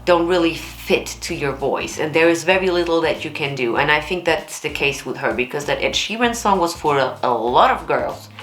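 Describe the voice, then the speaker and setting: A woman speaks in a notably low alto. She comments casually and bluntly, like a vocal coach hosting a reaction video, expressive and frank. The room rings around her voice, and music plays behind. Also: normal speed, hardly any pauses, reverberant. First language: German.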